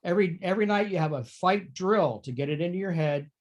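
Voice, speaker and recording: Male panel participant, slightly high pitch, informal conversational delivery. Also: quick pace, lo-fi sound. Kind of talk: casual conversation.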